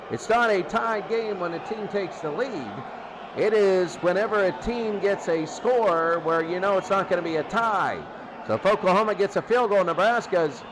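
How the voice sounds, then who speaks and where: Male football commentator, high register, excitable and conversational, noisy recording. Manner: excitable, conversational asides between plays. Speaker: play-by-play commentator of a simulated football tournament. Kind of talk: live American football radio-style commentary.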